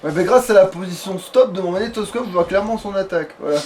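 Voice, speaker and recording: A man's voice: high-pitched, quick pace. A man chatting casually as he comments on a video game, swearing and joking in colloquial language. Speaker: let's play gamer. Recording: distant mic, noisy background.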